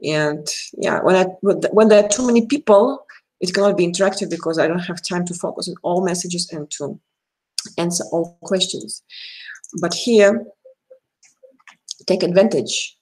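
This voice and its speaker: A woman's voice: on the low side, normal speed, darkish tone, poor audio. Woman, Russian accent, tarot reader, casual live stream, chatty, laughs often. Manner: chatty, laughing often, addressing viewers by name.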